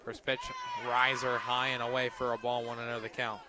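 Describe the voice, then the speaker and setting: A man doing live radio play-by-play of a softball game in short, present-tense calls that lift on the plays. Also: medium pitch, monotone, fairly quick, a crowd in the background, background noise.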